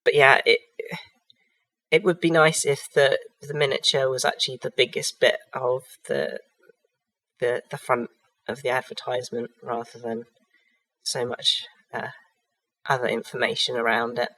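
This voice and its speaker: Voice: mid-range voice, fairly even intonation, normal speed, pauses often, fairly bright tone, poor audio. A miniatures hobbyist who has a cold. They narrate a product review hesitantly, with frequent ums and restarts.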